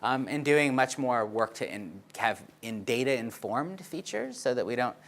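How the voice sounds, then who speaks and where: A man's voice: mid-range voice, expressive, a little slow, fairly bright tone. A man giving a conference talk on research findings, explaining charts with frequent fillers and "right?" checks. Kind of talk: conference presentation.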